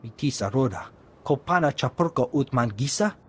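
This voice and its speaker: A man's voice: medium pitch, animated, a little fast, slightly rough. A man voicing an alien character in a video game, speaking in an invented alien tongue. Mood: matter-of-fact.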